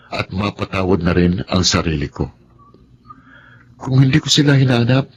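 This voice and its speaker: A man's voice: fairly low pitch, close to an everyday voice. An actor playing a remorseful, earnest husband and father in a radio drama, speaking hesitantly with a Filipino accent. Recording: clear background noise and ambience.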